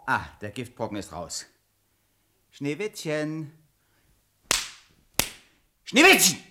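An actor playing a cheeky, quick-witted folk hero in a fairy-tale radio play, exclamatory and theatrical. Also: male voice, fairly high pitch, wildly swooping pitch, sharp tone, a little hiss or hum, exaggerated voice. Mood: relieved.

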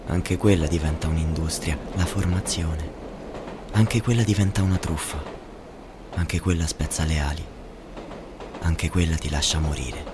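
An actor playing a grim, disillusioned student in a poetic radio monologue, with measured, incantatory phrasing, recorded over noisy ambience. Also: male voice, very deep voice, fairly even intonation. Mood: bitter, ominous. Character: grim, accusing young man, disillusioned student.

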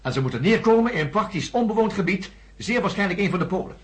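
A man's voice: sits high, expressive, fast, slight background noise. An actor playing an urgent male doctor who insists on being believed in a radio drama. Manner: insistent, commanding. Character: urgent male doctor warning of alien threat.

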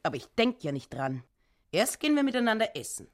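A woman's voice: a little high, sing-song, quick pace, dry recording, studio quality. Swooping, indignant woman customer. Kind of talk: German radio play acting.

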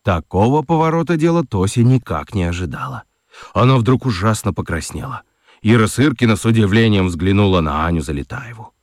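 A man narrates a children's radio story in an expressive, scripted style. His voice is low and swings widely in pitch, recorded close and dry. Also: fairly quick, no room sound. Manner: expressive, dramatic reading.

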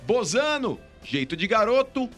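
A man with a fairly high voice and a São Paulo Brazilian accent, talking as a sports radio host. He is slangy and matey, with light music behind him. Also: big pitch swings, unhurried, a bit bright. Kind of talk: casual sports radio banter and live ad reads.

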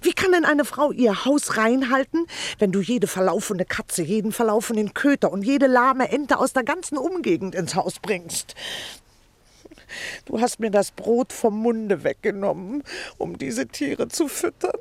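An actress playing a big, nagging, self-pitying wife in a theatrical radio play, scolding and indignant. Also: female voice, on the high side, animated, a little slow, no room sound. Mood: scornful, scolding. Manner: scolding, indignant, dramatic complaining.

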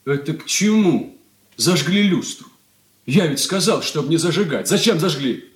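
Peevish, high-voiced domineering son. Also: male voice, animated, a little slow, echoey room. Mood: irritated. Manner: peevish, haughty, emphatic. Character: irritable, domineering grown son, pompous and petty. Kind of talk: 1980s Soviet radio play acting.

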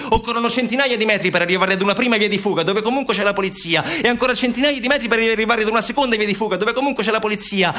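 A man's voice: sits high, bright, forceful tone. A man performing a dramatic narrative monologue as a stage actor, urgent and rhetorical in delivery. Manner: urgent, rapid-fire delivery with rhetorical repetition and lists. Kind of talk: dramatic monologue, theatrical storytelling for radio.

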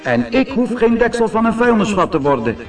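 Earnest, anxious radar friend for a children's tale, male reader acting, high and very lively. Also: brisk, far from the mic, faint music behind. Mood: glad, hopeful. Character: sad, worried male radar, a grateful friend. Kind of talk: children's audiobook character voice.

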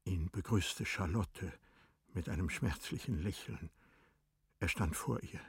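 A man reads scripted literary narration for a radio drama. His lowish, husky voice moves slowly and solemnly, recorded very dry and close. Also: no room sound. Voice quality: low, husky. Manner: solemn, deliberate, hushed at times.

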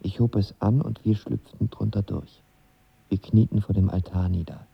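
A man's voice: fairly low pitch, moderate pace, creaky, husky, dry recording, full character voice. An actor playing a lovestruck, impulsive young man who narrates his romance in an intimate, reflective audio drama style. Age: young adult. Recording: dull studio sound with music behind.

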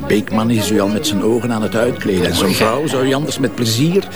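A man's voice: on the low side, brisk, over music, natural voice. A man playing an irreverent, teasing police inspector and husband in an audio drama, with a Flemish accent and earthy, sardonic banter. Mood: mocking. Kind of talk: naturalistic audio drama dialogue.

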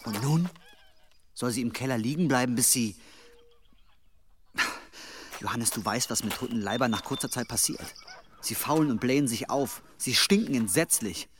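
Casting: male actor as fretful young monk, middle-pitched, radio drama scene with background noise. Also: moderate pace, long pauses, lightly acted. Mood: grim, complaining. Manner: deferential to outsiders, fretful. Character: young, anxious, grumbling monk. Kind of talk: German radio drama acting.

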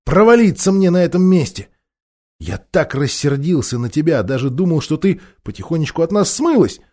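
A man voicing a blunt, excitable schoolboy in a children's fantasy story, exclaiming and grumbling. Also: on the high side, moderate pace, voice pushed up. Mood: amazed, admiring. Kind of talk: children's fantasy audiobook character.

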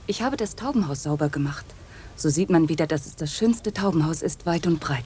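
An actress playing a dutiful young student, a farmer's daughter, in a radio play. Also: female voice, sits low, animated, brisk, a little mellow, slight background noise. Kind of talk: German radio drama acting.

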